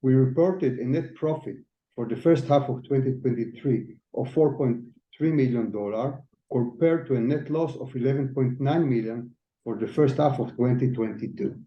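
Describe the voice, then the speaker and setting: A man giving a formal, scripted financial presentation as chief financial officer, reading out figures. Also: medium pitch. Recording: echoey, slightly dull audio.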